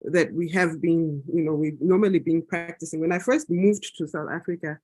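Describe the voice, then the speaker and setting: Soft-spoken man, husky and dry. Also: a little high, fairly even intonation, normal speed, dry recording. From Zambia. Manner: thoughtful, hesitant, many fillers and restarts. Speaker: meeting participant. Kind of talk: unscripted talk at a planning meeting.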